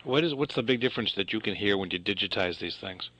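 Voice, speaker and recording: A man's voice: lowish voice, expressive, fast, a bit bright, muffled recording. A man hosting a radio interview, chatty and informal with hesitant fillers. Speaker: radio host.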